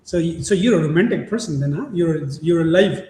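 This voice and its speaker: A middle-aged man hosting an interview, talking in halting starts with many fillers and frequent laughs. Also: a little high, dark tone. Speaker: podcast host. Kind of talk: casual interview chat. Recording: echoey room with faint ambient noise.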